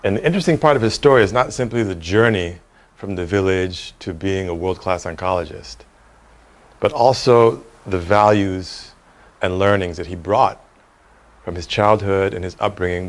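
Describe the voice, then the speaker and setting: A middle-aged American man with a fairly low voice gives an interview as a business executive. He speaks in an articulate, earnest, conversational way. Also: moderate pace. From Cleveland, United States. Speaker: real estate executive being interviewed.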